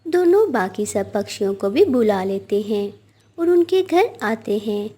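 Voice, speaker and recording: A woman's voice: medium pitch, sing-song, unhurried, mellow, husky. A woman storyteller reading scripted narration for a children's cartoon.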